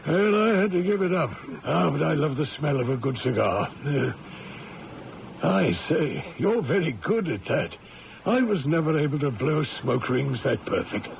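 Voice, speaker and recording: An actor playing a genial, inquisitive company boss in an old-time radio drama, chatty and genteel. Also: male voice, on the high side, very animated, a little slow, creaky, exaggerated voice. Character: genial, inquisitive gentleman diamond-firm boss in South Africa. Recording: dull lo-fi radio recording, faint hiss.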